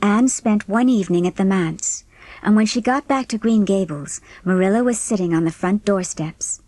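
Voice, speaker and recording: A woman's voice: medium pitch, moderate pace, darkish tone, no echo, low-quality recording. A woman reading scripted narration for a dramatised audiobook. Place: dry studio.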